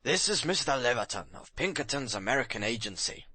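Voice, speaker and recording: Male actor as eager police inspector, proud, blunt, forceful bright voice, animated intonation, audiobook drama. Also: medium pitch, brisk, husky, no room sound, full character voice. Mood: triumphant.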